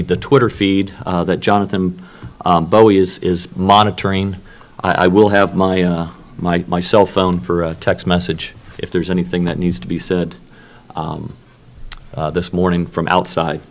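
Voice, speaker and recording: Man, very deep voice, moderator at a conference table, halting with lots of ums, room microphone. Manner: halting, many fillers and restarts. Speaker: workshop co-chair and moderator. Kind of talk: opening remarks at a technical meeting.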